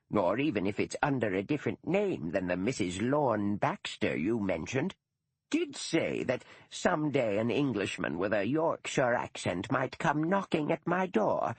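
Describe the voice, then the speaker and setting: A man voicing a stern, dour elderly woman, a retired schoolteacher who speaks slowly with prim, precise diction. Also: mid-range voice, husky, dry recording, pitched above the performer's own voice, heavily acted.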